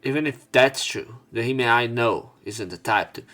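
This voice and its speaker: A man doing a let's play, reading a visual novel aloud with expressive dialogue and casual, blunt commentary. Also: medium pitch, moderate pace. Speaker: let's player. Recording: game music behind voice. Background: game music.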